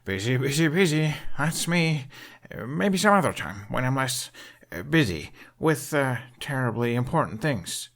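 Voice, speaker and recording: An awkward young Viking runaway, played by a man in an audiobook. He speaks in a lifted pitch, hesitant and evasive but earnest. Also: sing-song, a little slow, a bit bright. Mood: nervous, evasive.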